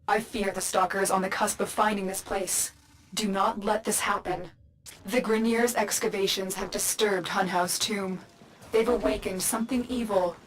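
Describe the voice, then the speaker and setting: An actress playing a calm, secretive female guide in a video game, giving measured, urgent instructions. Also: medium pitch, slight background noise, lightly acted, rough recording. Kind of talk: video game mission voice acting.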